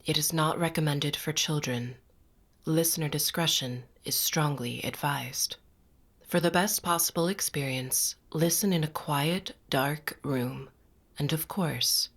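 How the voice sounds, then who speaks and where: A woman announcer with a very low voice reads a horror podcast introduction. She is slow and deliberate, keeping her delivery hushed. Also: husky.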